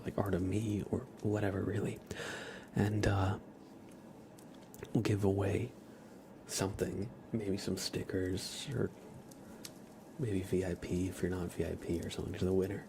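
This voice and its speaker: A man hosting a casual ASMR livestream, speaking softly and informally, often slipping into a breathy whisper. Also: deep voice, background noise. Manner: relaxed, informal, uses y'all. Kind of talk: casual ASMR stream chat.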